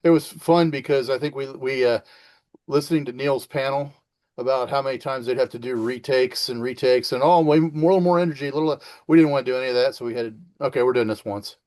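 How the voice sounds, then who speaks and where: Mid-pitched man in loose casual chat. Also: a little fast, a little mellow. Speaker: podcast guest, cast member.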